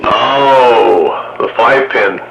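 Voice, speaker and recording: A man's voice: mid-range voice, drawn-out words, vocal fry. A man hosting a radio hobbyist net, talking casually. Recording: static noise over radio.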